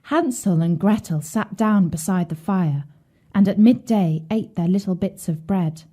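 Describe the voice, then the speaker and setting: A woman reading a scripted children's bedtime story in a measured, expressive way. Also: fairly low pitch.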